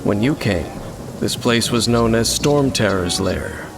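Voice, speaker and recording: A man voicing a solemn, mysterious wandering swordsman in a game, speaking in measured, formal phrases. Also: lowish voice, lively intonation, gravelly. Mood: grave. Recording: game music behind, with rain sounds.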